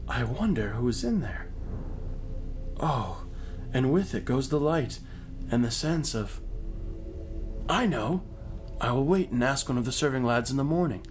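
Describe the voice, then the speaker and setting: An actor playing a naive, awed young knight in a dramatic play, speaking in halting, wondering phrases. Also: male voice, mid-range voice, expressive, soft background music, close to an everyday voice. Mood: hopeful, wondering.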